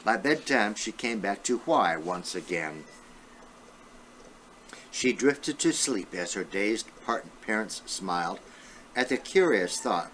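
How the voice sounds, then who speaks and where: An elderly man with a low, bright voice reads a children's storybook at an unhurried pace. His delivery swings up and down as he addresses young listeners directly, like a great-grandfather at storytime. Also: a little hiss or hum, rough recording. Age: 70s or older. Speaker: great-grandfather reading aloud. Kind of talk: children's storybook read-aloud.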